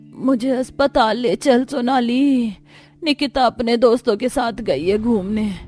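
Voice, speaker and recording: A woman's voice: on the high side, measured pace, a little mellow, faint music behind, voice pushed up. A woman voicing an ailing, demanding middle-aged stepmother in a cartoon story, weak and pleading. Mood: suffering, pleading.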